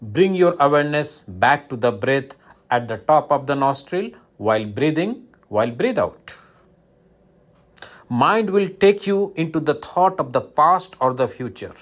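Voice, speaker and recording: A man teaching guided meditation, giving instructions in short, repeated phrases with pauses between them. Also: a little high, lively intonation.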